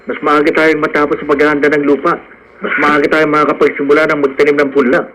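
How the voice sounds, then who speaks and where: An earnest young farmhand, played by a man in a radio drama, with a fairly high voice. He speaks with wistful hope and humility, over a faintly noisy broadcast recording. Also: level tone, quick pace, close to an everyday voice. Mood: earnest, patient. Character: earnest young male farmhand, dreamy and hopeful. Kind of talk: Filipino radio drama acting.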